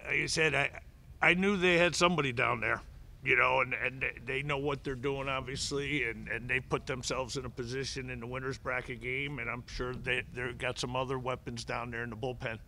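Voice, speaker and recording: A man's voice: mid-range voice, fairly even intonation, fairly quick, creaky, sharp tone, a little hiss or hum. A middle-aged man, a head baseball coach, answering press conference questions at a table mic, often restarting and repeating words. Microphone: table mic in front of him.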